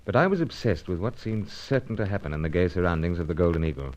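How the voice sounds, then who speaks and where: Deep-voiced earnest secret agent. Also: male voice, lively intonation, fast talker, a little mellow, rough recording. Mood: tense narration. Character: earnest, conscientious British male secret agent hero. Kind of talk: old-time radio serial acting and narration.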